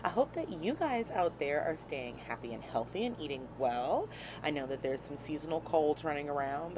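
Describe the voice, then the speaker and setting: A woman hosting a casual cooking vlog from a small RV, slightly hoarse and scratchy from a cold, chatty and laughing at her own clumsiness. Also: on the low side. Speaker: vlog host. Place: small RV kitchen. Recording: noticeable background noise.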